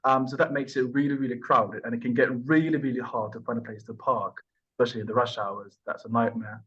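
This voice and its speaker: A man in his thirties from Libya, answering mock speaking test questions over a video call with many pauses and fillers. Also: medium pitch, steady tone, fairly bright tone, dull audio. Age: 30s. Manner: hesitant, thoughtful, many fillers and restarts. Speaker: IELTS test candidate and channel host.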